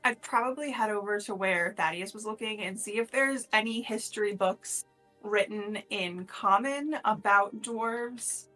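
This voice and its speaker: A woman plays in a tabletop game and speaks casually about her moves. Her voice is of medium pitch. Quiet music runs behind her. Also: unhurried, low-quality recording.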